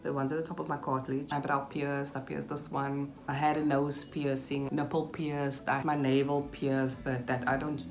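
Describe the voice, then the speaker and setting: A woman's voice: a bass voice, level tone, moderate pace, hardly any pauses. A woman in her thirties with a Cape Town South African accent, chatting informally to her viewers as a vlogger, with emphatic little asides. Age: 30s. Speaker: YouTube vlogger. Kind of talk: casual chat to camera, question-and-answer. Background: background music.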